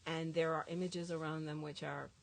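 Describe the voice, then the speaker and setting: Low gravelly woman, Jamaican American accent. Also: moderate pace. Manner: animated, many filler ums. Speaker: guest, art teacher. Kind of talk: casual conversation.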